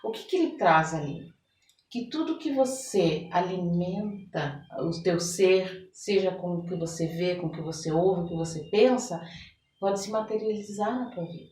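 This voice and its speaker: A Brazilian woman chatting as a podcast guest in an expressive, reflective and emphatic way. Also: on the low side, moderate pace. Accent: Brazilian Portuguese. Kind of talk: casual podcast conversation. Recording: echoey room, distant microphone.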